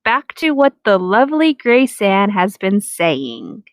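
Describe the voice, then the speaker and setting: A woman's voice: mid-range voice, very animated, slow pace, no echo, clean studio sound. A woman co-hosting a podcast, reading aloud and commenting casually in a sarcastic, exasperated way with plenty of swearing. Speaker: podcast co-host reading fan fiction aloud.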